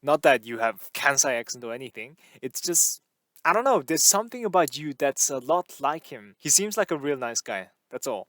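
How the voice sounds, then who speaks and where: A man voicing a talkative young woman hairdresser with a slightly husky voice, asking curious, teasing questions. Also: mid-range voice, very animated, normal speed, fairly bright tone, no echo. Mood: chatty.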